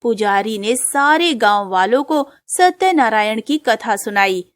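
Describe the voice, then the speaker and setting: High-voiced woman narrating a story. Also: animated, a little slow, no room sound.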